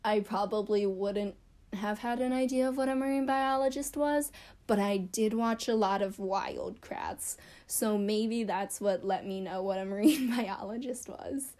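A woman's voice: medium pitch, a little slow, mellow. A young woman chatting casually to camera about her childhood, rambling and self-deprecating with lots of fillers. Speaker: vlogger.

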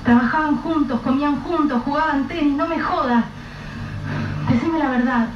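A woman's voice: high-pitched, normal speed, lightly acted. A woman playing a distraught young mother whose husband has vanished, snapping and swearing in bursts. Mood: angry. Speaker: lead character. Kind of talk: radio drama acting. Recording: roomy, dull, noisy radio-play recording.

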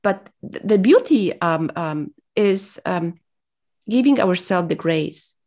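A woman's voice: on the low side, animated, slow pace, darkish tone. A woman whose first language is Polish, speaking as an interview guest in a reflective, motivational way, hesitant and full of fillers as she searches for words.

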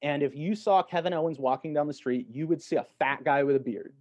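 A man's voice: on the high side, a little fast, a little mellow. A man talking casually as a podcast guest, explaining things in a thoughtful, conversational way.

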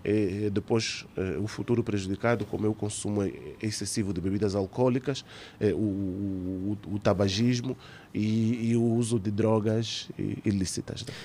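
A man's voice: fairly low pitch, steady tone, moderate pace, warm tone, a little hiss or hum. A man, a guest doctor in a radio studio, explaining things conversationally and checking that the listener follows.

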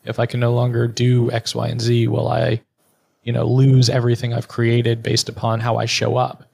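A man's voice: mid-range voice, steady tone, normal speed, vocal fry, a little mellow, slightly rough. A middle-aged man speaking frankly and bluntly in a conversational interview, now and then swearing. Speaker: podcast guest, therapist and entrepreneur.

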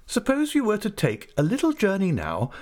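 A man reading an audiobook, voicing a brisk, stern but fond elderly aunt in a raised, emphatic voice. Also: huge pitch swings. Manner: emphatic, decisive, high-pitched and commanding. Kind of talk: audiobook character voice.

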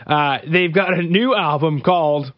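A man's voice: fairly high pitch, measured pace, dull audio. A man hosting a podcast, upbeat and jokey, stretching out his intros in a casual chat. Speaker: podcast host.

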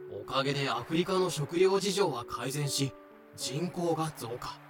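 A man reading scripted explanatory narration in a wry, matter-of-fact way. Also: medium pitch. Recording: music behind the voice.

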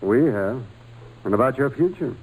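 An actor playing a kindly, patient religious brother at a boys' school, gentle and hesitant, in an old-time radio drama. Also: male voice, mid-range voice, lively intonation, moderate pace, breathy edge, rough recording. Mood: fatherly, earnest.

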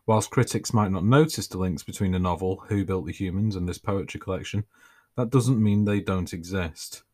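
A man hosting his own podcast, reading his writing aloud in a relaxed way with dry, self-mocking humour. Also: low voice. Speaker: podcast host and author.

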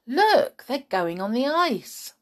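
A woman's voice: high voice, sing-song, slow, fairly bright tone, voice pushed up, exaggerated voice. A woman voicing a bold, earnest village boy in a children's story, exclamatory and easily riled. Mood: excited, warning. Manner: exclamatory, earnest, old-fashioned British children's phrasing.

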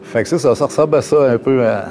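A man with a Quebec French accent in casual chat, speaking colloquially and a little haltingly. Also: medium pitch, darkish tone, a little hiss or hum. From Matane, Quebec. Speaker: video artist and news cameraman.

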